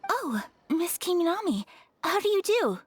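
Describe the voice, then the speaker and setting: An actress playing a refined, gentle young noblewoman in a video game, with formal, polite and earnest phrasing. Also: extremely high pitch, sing-song, normal speed, a little hiss or hum. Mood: courteous.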